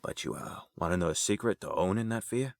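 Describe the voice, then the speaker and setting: An actor playing an easygoing, streetwise, affectionate uncle in an audio drama, talking in a familiar, colloquial way. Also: male voice, sits low, expressive, fairly quick, creaky, no room sound, studio quality. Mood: frank, encouraging.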